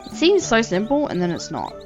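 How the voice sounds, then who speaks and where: A woman's voice: fairly high pitch, wildly swooping pitch, fairly quick, highs cut off, music in the background. An Australian woman in her thirties, chatting casually and earnestly over her gameplay, often trailing off mid-sentence. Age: 30s. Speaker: gaming channel host.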